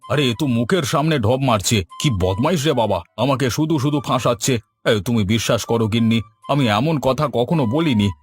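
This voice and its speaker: A man's voice: medium pitch, moderate pace, lightly acted. Man as a grumbling cartoon village landlord. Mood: protesting. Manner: wry, protesting. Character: long-suffering, grumbling male village landlord and husband. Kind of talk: cartoon dub. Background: music.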